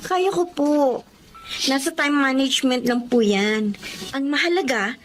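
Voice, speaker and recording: A woman's voice: high voice, unhurried, fairly bright tone, slight background noise. An actress playing a young university student who earnestly reassures her mother.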